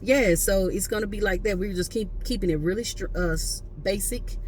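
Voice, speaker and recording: A woman in her late thirties talks loosely and playfully to camera, full of slangy asides. Her voice sits at a middle pitch. A faint hiss runs under the recording. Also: moderate pace. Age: late 30s. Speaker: vlogger. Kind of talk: casual talk to camera.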